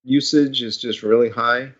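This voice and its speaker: A male podcast panelist with a middle-pitched voice, recorded a bit dull and lo-fi. He banters casually, delivering teasing remarks in a dry, mock-serious manner. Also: steady tone, moderate pace.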